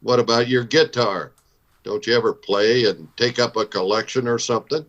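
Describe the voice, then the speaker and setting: A man's voice: medium pitch, fairly even intonation, normal speed, fairly bright tone, dull audio, close to an everyday voice. A man playing a stern, plain-spoken Old West town marshal in a radio Western. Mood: calm, questioning. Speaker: voice actor in radio show recreation.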